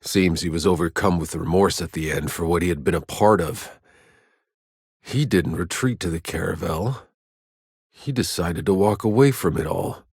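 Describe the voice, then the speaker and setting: Hard-bitten video game soldier, deep husky voice, sardonic, terse, weary. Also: male voice, normal speed, a little mellow, no echo, clean studio sound, full character voice. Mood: grim, reflective. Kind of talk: scripted game dialogue.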